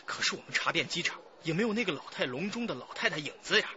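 An eager junior detective in a radio crime drama. His voice is high, very bright and quick. He is excitable and deferential, on a slightly dull recording. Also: a little hiss or hum. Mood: puzzled, anxious.

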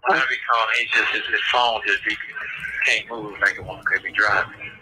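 A man's voice: lowish voice, a little fast, noisy background. A man chatting casually on a tinny jail phone call, often repeating his phrases. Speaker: caller from jail. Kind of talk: casual private chat.

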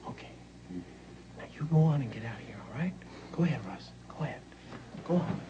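An actor playing a temperamental, demanding American rock star in a comic film, blunt and insistent. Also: male voice, high voice, animated, brisk, muffled recording, noisy background. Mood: stern. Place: small crowded backstage dressing room.

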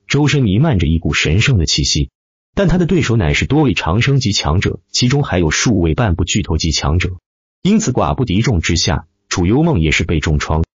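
Deep-voiced man narrating with lively swings. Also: breathy edge, no echo, muffled recording. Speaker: audiobook narrator. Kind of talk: dramatic scripted web-novel narration.

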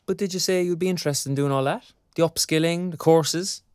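A man's voice: a little high, brisk, darkish tone, smooth, no echo, studio quality, natural voice. An actor playing a kindly, chatty young graduate, a man with an Irish accent and a colloquial, concerned manner in a naturalistic audio drama. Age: young adult.

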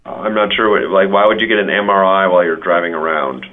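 A man's voice: low-pitched, quick pace. A man chatting casually on the phone, with a laid-back, wry way of talking. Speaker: resident receiving a phone call. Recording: tinny phone line with noise.

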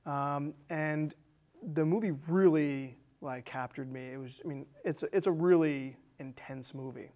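A man in his thirties casually discussing a film, halting and repeating words as he thinks aloud. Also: fairly high pitch, mellow. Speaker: film discussion show co-host. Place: small indoor studio set with curtain.

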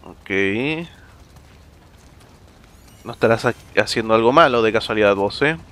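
A man's voice: fairly low pitch, expressive, measured pace, fairly bright tone. A man with an Argentine accent chatting and laughing through a casual game commentary, rambling in asides. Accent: Argentine Spanish. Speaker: gaming streamer. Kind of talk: casual let's-play commentary.

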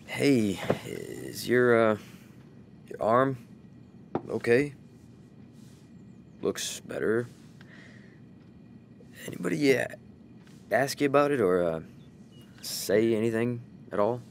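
A man playing a weary, sardonic single father, gruff but caring, who swears when defensive. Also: mid-range voice, very animated, slow, long pauses, slight background noise, heavily acted.

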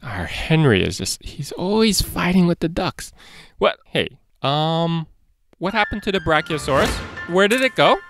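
A man's voice: fairly high pitch, sing-song, unhurried, fairly bright tone, no room sound. A man, perhaps in his thirties, hosting a casual step-by-step drawing lesson for children in a playful way, talking to himself as he draws. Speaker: drawing tutorial host for children.

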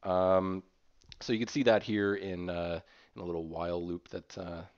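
A man presenting an informal screen-share software tutorial in a hesitant, explanatory way. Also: low-pitched, flat delivery, moderate pace. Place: voice-over recorded over screen capture. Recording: dull, muffled lo-fi audio.

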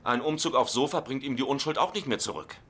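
An actor playing a playful, easygoing young husband in a dubbed sitcom, with a jokey manner. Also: male voice, medium pitch, fast, very noisy, close to an everyday voice. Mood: reassuring. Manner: jokey, excitable.

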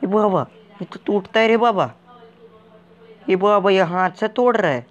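A man's voice: high-pitched, lively intonation, highs cut off. A man putting on a bumbling talking game wanderer. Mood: baffled, jittery. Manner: ends nearly every line with a drawn-out "re baba" catchphrase, jumpy and naive. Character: clueless, excitable uneducated man wandering a game. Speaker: gaming video character. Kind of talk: comic game commentary in character.

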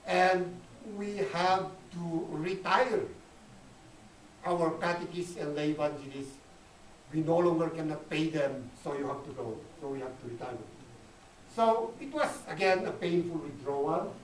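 A man with a Filipino accent giving a live church conference presentation, emphatic and repeating his phrases. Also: on the high side. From Philippines. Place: dim indoor conference room. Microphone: camera in the audience, at a distance. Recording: roomy, distant camera mic with noise.

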